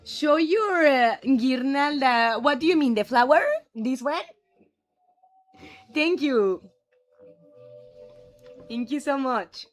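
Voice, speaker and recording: High-voiced woman with a Mexican accent. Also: slow, pauses often, faint music behind. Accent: Mexican Spanish. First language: Spanish. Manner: friendly, answers viewers' questions aloud. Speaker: livestreamer. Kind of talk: casual live-stream chat reading comments.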